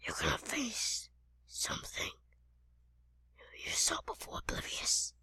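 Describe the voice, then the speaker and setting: A woman voicing a menacing, gloating villain in an amateur horror story, with a harsh, hissing rasp and slow threats. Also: bright, forceful tone, no echo. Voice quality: harsh, hissing, raspy whisper. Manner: slow, threatening, repeating phrases. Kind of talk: amateur improvised horror play-acting.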